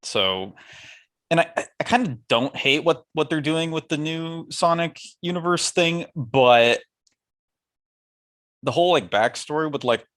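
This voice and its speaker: A man's voice: on the high side, expressive, unhurried, a bit bright. A man co-hosting a podcast, talking casually with hesitant, hedging phrasing. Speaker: podcast co-host. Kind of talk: casual movie discussion.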